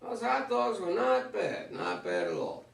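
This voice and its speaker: An actor in a staged play reading, playing an avuncular, plainspoken retired baseball legend who gives folksy advice. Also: male voice, high-pitched, huge pitch swings, a little slow, creaky, full character voice. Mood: reflective. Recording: roomy stage, far from microphone.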